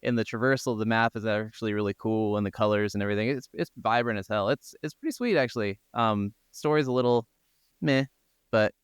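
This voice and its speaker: A man's voice: fairly low pitch, a little fast, clean tone, dry recording, studio quality. A man co-hosting a podcast, chatting casually in a colloquial way with some swearing. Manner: colloquial, sweary, heavy use of "like". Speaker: podcast co-host. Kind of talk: casual banter between co-hosts.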